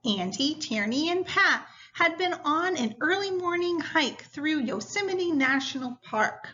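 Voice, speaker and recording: A woman teacher reading a story aloud to students in a deliberate, instructional way. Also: high-pitched, animated, pushed and bright. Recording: dull, lo-fi sound.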